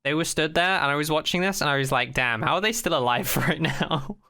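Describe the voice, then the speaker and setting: Bright, emphatic podcast co-host. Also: medium pitch, fairly quick. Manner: opinionated, slangy, sweary, emphatic. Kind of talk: casual podcast discussion.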